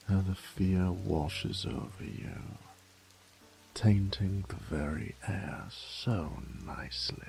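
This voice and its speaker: Deep, slow, menacing vampire lord. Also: male voice, a little mellow, exaggerated voice. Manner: slow, theatrical, menacing, lapsing into breathy whispers. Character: cruel, gloating male vampire lord savoring his human prey. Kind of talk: scripted horror audio roleplay.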